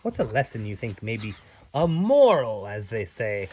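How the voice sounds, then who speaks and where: A man's voice: on the low side, very animated, unhurried, a little mellow, breathy edge. A man reading aloud to children as their dad, with playful, folksy, chatty asides.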